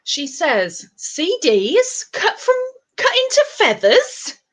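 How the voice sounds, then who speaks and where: A woman's voice: sits high, wildly swooping pitch, takes it slowly, a bit bright. A woman hosting a casual live-stream interview with a crafter, playful and teasing.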